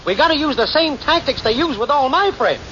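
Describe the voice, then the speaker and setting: A muffled old radio comedy recording with faint noise. a small-time hustler. He is brash, scheming and lively in delivery. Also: very high voice, fairly quick, full character voice. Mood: insistent. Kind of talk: 1940s radio comedy acting.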